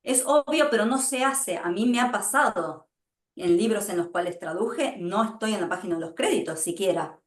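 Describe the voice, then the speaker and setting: A woman's voice: mid-range voice, expressive, moderate pace. A woman translator and publisher talking emphatically in a conversational interview. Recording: roomy echo.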